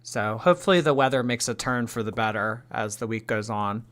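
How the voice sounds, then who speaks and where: A woman in her late twenties chatting on a livestream, reading out viewer tips and teasing, swinging between loud and mumbled. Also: rumbling low, brisk. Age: late 20s. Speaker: streamer. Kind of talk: livestream reaction chat.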